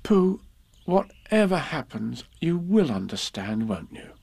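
A man puts on a little boy from a children's storybook, pitched high and swinging up and down. He is kindly and imaginative, speaking with faltering earnestness. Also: big pitch swings, takes it slowly, creaky, a little mellow, slightly rough, no echo, full character voice. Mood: earnest, faltering.

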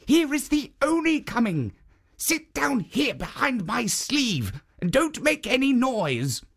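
A narrator voicing a kindly stone statue spirit who protects travellers, speaking gently and earnestly in a raised voice. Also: mid-range voice, very animated, slow pace, pitched above the performer's own voice, exaggerated voice.

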